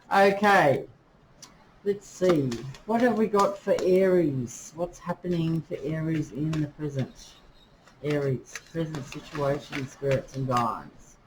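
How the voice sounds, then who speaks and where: Slow-talking woman reading tarot. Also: low-pitched, rough recording. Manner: chatty, many fillers, talks to spirits while drawing cards. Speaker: tarot reader. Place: indoors with rain outside. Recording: faint rain noise behind.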